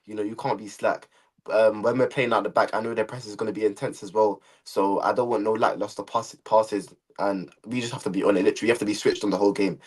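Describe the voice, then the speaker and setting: A man, a guest on a live football chat, giving relaxed, opinionated fan talk. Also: fairly low pitch, fast, slightly rough.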